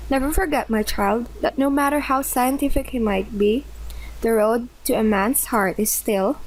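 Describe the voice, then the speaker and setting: A woman playing a cheerful, encouraging family member who gently teases in a radio play. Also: fairly high pitch, fairly even intonation, normal speed, close to an everyday voice, rough recording. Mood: knowing, teasing.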